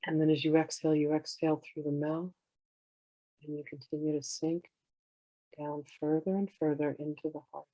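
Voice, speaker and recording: A meditation teacher guiding in a soothing, unhurried way, with gentle instructions in drawn-out phrases. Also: mid-range voice, level tone, dry recording, highs cut off. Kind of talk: guided meditation, slow instruction.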